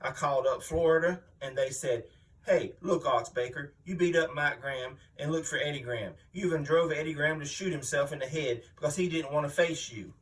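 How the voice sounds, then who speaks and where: A man's voice: on the high side, brisk, far from the mic, lightly acted, poor audio. A man playing a boastful, mean veteran wrestling villain, blustering and aggrieved as if giving an interview. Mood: aggrieved, menacing. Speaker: reader voicing an interviewed wrestler. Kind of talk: dramatic magazine interview reading, wrestling promo.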